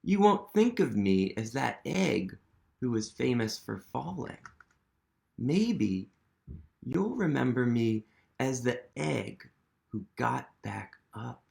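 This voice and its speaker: A man's voice: mid-range voice, very animated, slow, creaky, darkish tone, exaggerated voice. A man voicing a nervous egg who climbs back up a wall, read earnestly in first person from a storybook. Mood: hopeful.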